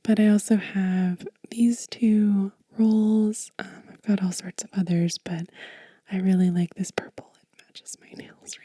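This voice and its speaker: A woman speaking gently and unhurriedly in a soft, whispery, breathy voice, close to the mic, as an ASMR host showing and telling. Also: medium pitch, dry recording. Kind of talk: soft-spoken ASMR show and tell.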